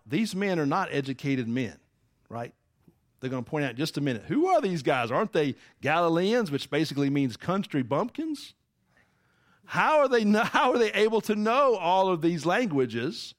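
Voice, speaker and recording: A man's voice: on the high side, very animated, measured pace, pauses often, no room sound, clean studio sound. A man preaching a sermon, teaching emphatically and asking the congregation rhetorical questions. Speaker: pastor.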